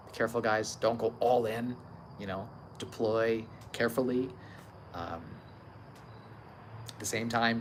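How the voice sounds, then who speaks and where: Man, age 30, casual livestream host, emphatic informal delivery, average pitch, faint ambient noise. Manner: confident, informal, mild swearing, emphatic. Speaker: livestream host, real estate investor. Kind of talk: casual livestream monologue on investing. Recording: clear steady background ambient noise.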